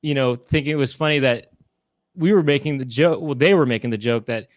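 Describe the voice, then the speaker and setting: A man's voice: mid-range voice, fairly quick, no room sound. Male co-host, thirties, mid-range voice, rambling casual talker, dry close home recording. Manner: rambling, chatty, self-correcting. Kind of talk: casual live-stream chat. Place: small room at home. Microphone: handheld mic with headphones.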